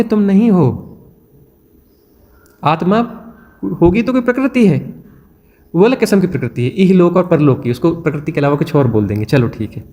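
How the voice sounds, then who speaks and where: A man's voice: on the high side, dark tone. A man with an Indian accent giving a spiritual discourse, asking rhetorical questions and repeating phrases again and again to make his point. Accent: Hindi-speaking Indian. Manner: repetitive, rhetorical questions, chanting phrases to illustrate. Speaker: spiritual teacher. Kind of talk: satsang discourse.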